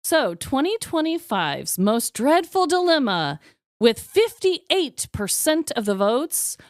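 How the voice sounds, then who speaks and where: A woman co-hosting a podcast, telling stories in casual, animated, informal comedic chat. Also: high-pitched, slow, no echo, studio quality. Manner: animated, informal, occasional swearing. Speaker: podcast co-host.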